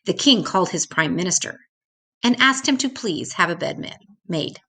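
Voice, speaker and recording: Animated older woman reading a children's story. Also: medium pitch, slightly rough. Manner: deliberate, expressive, drawing out key words for young listeners. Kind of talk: children's picture-book read-aloud.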